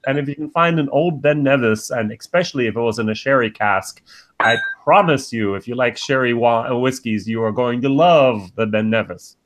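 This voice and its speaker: A man's voice: medium pitch, moderate pace, fairly bright tone. Man hosting a casual livestream chat. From born in the United States, living in Germany. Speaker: host of a whisky review channel. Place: small room at home lined with bottle shelves.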